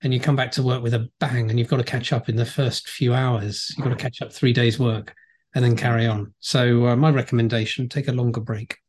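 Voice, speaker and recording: A man's voice: mid-range voice, level tone, fairly quick, darkish tone, husky. A man hosting a podcast, upbeat and friendly, interviewing his co-host. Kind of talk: casual podcast chat.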